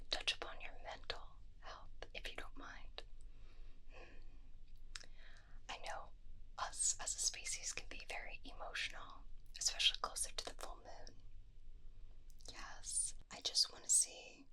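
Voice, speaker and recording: A woman doing a whispered ASMR roleplay as a clinic examiner, with a soft, breathy voice and gentle, conversational bedside manner, close to a binaural microphone. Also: unhurried.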